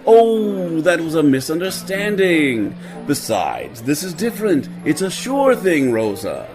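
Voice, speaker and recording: A man's voice: fairly high pitch, wildly swooping pitch, a little slow, over music, full character voice. A man playing a vain, scheming young adventurer in an audio drama, flippant and argumentative. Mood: exasperated.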